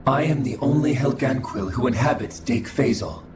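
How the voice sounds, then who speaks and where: A man voicing a coldly logical, formal alien scholar in a video game cutscene, speaking in a measured, clinical way. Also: mid-range voice, background noise, natural voice. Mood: calm, formal. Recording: echoing voice over game music.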